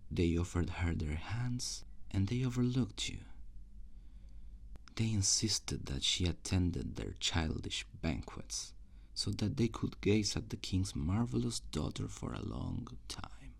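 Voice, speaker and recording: A man playing an ambitious, cruel usurper prince in an ASMR roleplay, speaking formally and theatrically in a husky, gravelly voice that often drops toward a whisper. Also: low voice, darkish tone, full character voice.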